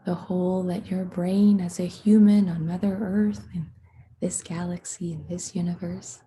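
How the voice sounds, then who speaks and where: A woman leading a guided meditation in a hushed, soothing, unhurried manner, with long pauses between phrases and a soft, breathy voice. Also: lowish voice, fairly even intonation, a little hiss or hum.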